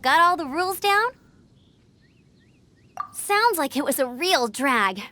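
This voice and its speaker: A woman's voice: high-pitched, big pitch swings, takes it slowly, pushed and bright, slight background noise, full character voice. A woman playing a perky, earnest young female knight in a video game, chatty and emphatic. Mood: confiding.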